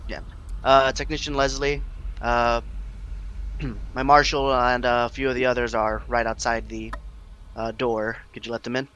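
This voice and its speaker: Flat-toned, halting man with background noise. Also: mid-range voice, muffled recording. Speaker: player in a tabletop role-playing game.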